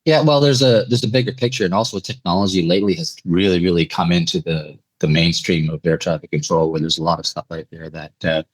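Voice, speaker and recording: A man from Eastern Canada speaking as an interview guest, telling anecdotes in a conversational, measured and reflective way. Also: sits low, husky, no room sound, low-quality recording. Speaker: interview guest, air traffic controller telling stories.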